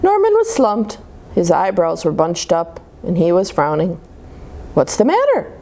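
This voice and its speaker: A woman's voice: fairly low pitch, a little slow, vocal fry. A woman reading a picture book aloud to children, with asides to her listeners. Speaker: children's story time reader. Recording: noticeable steady background noise.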